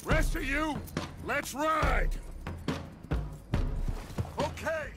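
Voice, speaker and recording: A man's voice: extremely high pitch, extremely slow, exaggerated voice. A man playing a middle-aged American outlaw gang leader, grandiose, resolute and authoritative, in a cinematic western game. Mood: commanding, urgent. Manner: oratorical, authoritative. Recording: loud music and horses behind.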